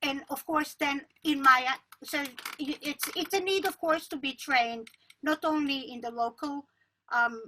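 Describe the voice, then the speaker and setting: Bright high-voiced woman researcher. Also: measured pace, slight background noise, poor audio. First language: Albanian. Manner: earnest, many restarts and self-corrections. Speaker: researcher and panel speaker. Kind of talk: academic panel talk.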